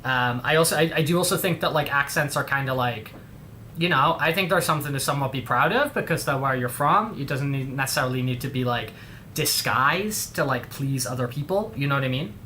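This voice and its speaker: English dude streaming, sharp bright voice, rambles casually with lots of slang, bit of noise behind him. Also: male voice, medium pitch, animated, a little fast. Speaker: livestream host. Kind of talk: casual livestream chat.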